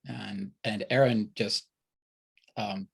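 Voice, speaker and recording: Man hosting a chat, haltingly. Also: lowish voice, fairly even intonation, gravelly, breathy edge. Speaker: host. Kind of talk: casual interview chat.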